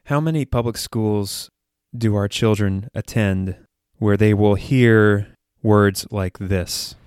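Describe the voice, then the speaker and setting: A man's voice: fairly low pitch, fairly even intonation, takes it slowly, darkish tone, clean tone, no room sound, clean studio sound. An American man hosting a podcast, giving earnest, conversational solo commentary with hesitant phrasing. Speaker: podcast host.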